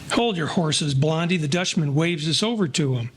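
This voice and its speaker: A man playing a steady gangster companion in a 1940s audio play. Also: a little high, moderate pace, slight background noise, natural voice. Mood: watchful. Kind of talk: audio play character acting.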